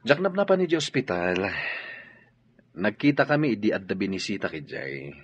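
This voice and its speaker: An actor playing a smooth romantic suitor in a radio drama. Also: male voice, fairly low pitch, big pitch swings, normal speed, muffled recording, exaggerated voice. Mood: casual, evasive.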